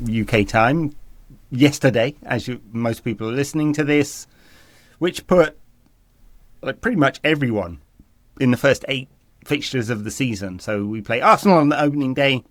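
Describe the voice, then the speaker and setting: A man co-hosting a football podcast, chatting casually with a slight cold that makes him a little raspy, often rambling and correcting himself. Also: medium pitch, dry recording. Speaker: podcast co-host.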